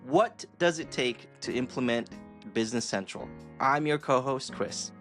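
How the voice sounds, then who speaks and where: A man's voice: medium pitch, expressive, a little slow, a bit bright, soft background music. A man co-hosting a podcast, joking and teasing his guests. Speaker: podcast co-host. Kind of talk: casual podcast interview.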